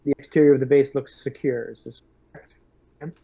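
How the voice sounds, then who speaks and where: A man in a tabletop roleplaying game, speaking as a lieutenant in deliberate, hesitant military talk. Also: mid-range voice, fairly even intonation, vocal fry, husky, highs cut off.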